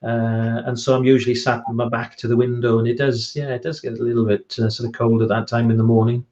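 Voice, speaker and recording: A Welsh man chats hesitantly over a video call, halting often with ums and restarting his sentences. His voice sits at an ordinary pitch. The call audio comes through somewhat dull and lo-fi. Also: flat delivery, darkish tone. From Wales. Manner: hesitant, many ums and restarts, self-deprecating. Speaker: author guest. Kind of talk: casual online chat interview.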